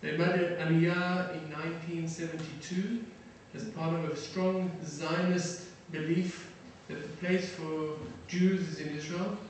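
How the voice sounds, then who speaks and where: Middle-aged guy from south africa giving a talk, lots of ums, higher voice, super echoey hall, mic far off. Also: little pitch movement, a little hiss or hum. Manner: halting, many fillers and restarts. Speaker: defense attaché giving a lecture. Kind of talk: lecture to an audience. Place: lecture hall.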